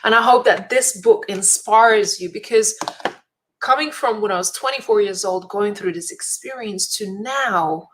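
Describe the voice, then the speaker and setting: A woman's voice: mid-range voice, unhurried, a bit bright, rough recording. A woman giving an earnest, inspirational talk straight to her viewers, a speaker and event host who leans on emphatic repetition. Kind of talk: motivational direct-to-camera talk.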